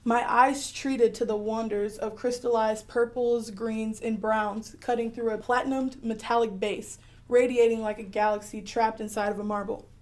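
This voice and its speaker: Clever, adventurous girl heroine woman actor, higher-pitched voice, earnest first-person radio drama storytelling. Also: fairly even intonation, normal speed, close to an everyday voice. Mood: excited, wondering.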